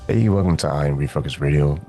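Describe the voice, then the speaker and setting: A man's voice: rumbling low, quick pace, warm tone, soft background music. A man hosting a radio interview show in a laid-back, friendly way, often calling his guest "man". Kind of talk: casual interview chat.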